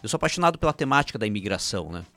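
A man's voice: lowish voice, big pitch swings, very fast, bright, forceful tone. Chatty man with Ukrainian roots. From Paraná, Brazil. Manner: rambling, frequent restarts and "né?" tags. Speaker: filmmaker guest. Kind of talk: casual interview chat.

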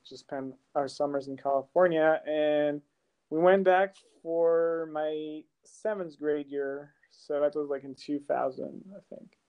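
A man's voice: a little high, clean tone, dull audio. A man from France in his thirties, a music producer talking casually in an interview, rambling with many fillers and restarts.

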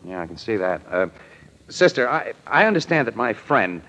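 An actor playing a brash, wisecracking crime photographer in an old-time radio drama, slangy, breezy and quick-witted. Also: male voice, lowish voice, very animated, bright, forceful tone, exaggerated voice. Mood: affable, probing. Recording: muffled, noisy old radio recording.

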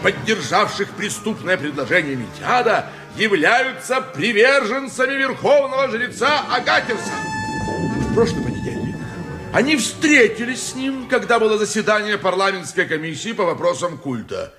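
An actor playing an impassioned, indignant lawyer in an ancient court, declaiming pompously in a theatrical radio play style. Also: male voice, sits high, very animated, normal speed, over music. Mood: indignant, triumphant.

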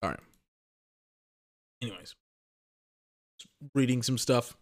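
A man's voice: medium pitch, slow. A young man hosting a sports podcast, rambling and emphatic in casual commentary, restarting phrases and swearing, a little tired.

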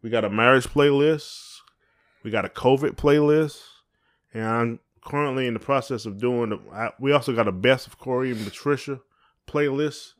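A man's voice: mid-range voice, normal speed. Southern guy doing casual podcast chat, folksy, playful, kinda rambles. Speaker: podcast co-host.

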